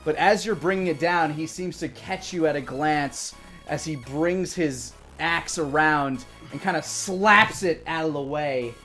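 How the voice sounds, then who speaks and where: Male dungeon master, thirties, higher pitch, improvised dramatic game narration, music underneath. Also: unhurried. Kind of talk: improvised game narration for a tabletop role-playing stream.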